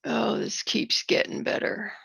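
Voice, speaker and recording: A woman's voice: unhurried, no room sound, natural voice. A woman playing a dry, exasperated small-town mayor with sarcastic delivery in a comedy table read. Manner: sarcastic, deadpan.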